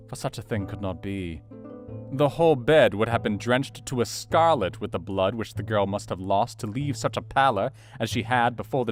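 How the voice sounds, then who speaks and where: A man playing a serious, anxious Victorian doctor, narrating his diary in a measured, formal literary manner for an audio drama. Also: on the low side, natural voice. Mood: puzzled, observant. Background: faint plucked guitar music.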